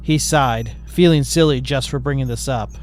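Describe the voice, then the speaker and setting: A man's voice: medium pitch, normal speed, soft background music. A man narrating a fan fiction story in a plain, matter-of-fact way.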